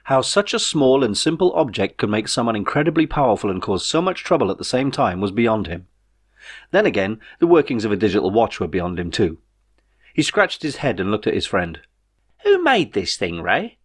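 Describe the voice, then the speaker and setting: A man reading his own novel as a scripted audiobook, in a measured storytelling manner. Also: fairly low pitch, big pitch swings, breathy edge.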